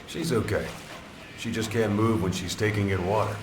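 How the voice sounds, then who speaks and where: An actor playing a sombre military officer in a video game cutscene, speaking in measured, clipped phrases. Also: male voice, fairly low pitch, steady tone, reverberant, recorded from a distance, noisy background, close to an everyday voice. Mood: grave, guarded. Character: sombre male military intelligence officer explaining a strange prisoner.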